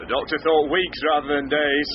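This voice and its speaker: A man acting an earnest, deferential policeman in a British radio drama. His voice is slightly high and hesitant, on a muffled and clearly noisy recording. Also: little pitch movement, a little fast, lightly acted. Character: deferential male police officer in radio mystery.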